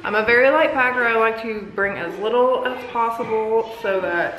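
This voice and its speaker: A woman's voice: fairly high pitch, moderate pace, echoey room, noisy background. An American woman in her thirties chatting casually to camera as a vlogging mother. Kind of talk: casual family vlog chat. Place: at home and in a parked or moving car. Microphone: camera at about arm's length.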